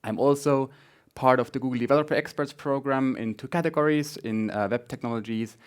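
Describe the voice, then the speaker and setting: Male tech speaker, Swiss German accent, mid-range voice, informal and a little halting. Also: medium pitch, smooth. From Switzerland. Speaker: conference speaker, front-end developer. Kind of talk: conference tech talk.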